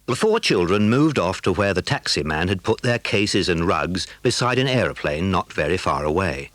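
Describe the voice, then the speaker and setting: A man's voice: sits low, big pitch swings, normal speed, fairly bright tone, no room sound. A man narrating a children's adventure story from a script.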